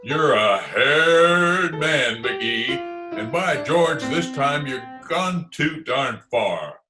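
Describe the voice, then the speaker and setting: A man acts out a pompous, blustering neighbour in an old radio comedy. He takes his words slowly and swoops widely in pitch, easily irritated, in a roomy, echoing recording. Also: lively intonation, music in the background. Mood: indignant. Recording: roomy, echoey internet call recording.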